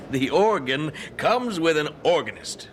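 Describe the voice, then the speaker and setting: An actor playing a brisk, jovial, persuasive evangelist in an audio drama, direct and commanding. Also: male voice, on the high side, animated, slight background noise.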